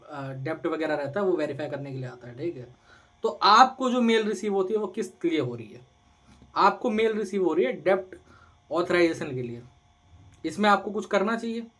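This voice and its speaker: A man with a sore throat, explaining things informally and reassuringly, often asking and answering his own questions. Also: high-pitched. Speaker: loan advice video host. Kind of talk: informal explainer to camera audience.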